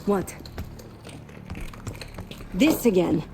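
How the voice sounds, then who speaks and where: An actress playing a blunt, sardonic teammate in a video game, with a gravelly, dry and casual delivery. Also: female voice, on the low side, animated, drawn-out words, heavy background noise, heavily acted. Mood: weary. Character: gruff, sardonic, blunt teammate doing chores. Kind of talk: cinematic video game acting.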